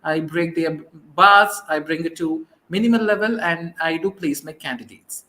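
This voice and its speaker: A man's voice: fairly high pitch, normal speed, sharp tone. A man from southern India with a South Indian accent and Tamil as his first language, answering interview questions hesitantly, with many fillers. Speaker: guest.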